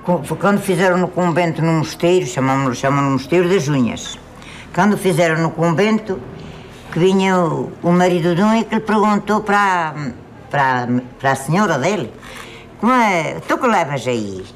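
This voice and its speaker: A woman's voice: on the low side, expressive. Older rural woman telling a legend. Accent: northern Portuguese rural. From northern Portugal. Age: 70s. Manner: halting, repeats words. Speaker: farmer. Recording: faint outdoor noise.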